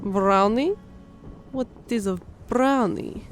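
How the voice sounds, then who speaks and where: A woman's voice: medium pitch, takes it slowly, natural voice. Woman doing young tailed creature lady, odd broken grammar, eager, fantasy story with music under it. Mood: curious. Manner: broken, oddly phrased foreign-sounding grammar. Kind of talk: audiobook character acting.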